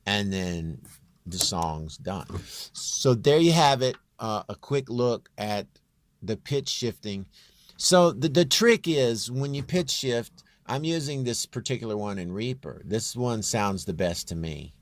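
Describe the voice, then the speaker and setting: A man with a very low, near-bass voice giving a casual, off-the-cuff software walkthrough in a rambling, plain-spoken, self-deprecating way. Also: animated, measured pace, no room sound. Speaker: home-recording singer giving a tutorial.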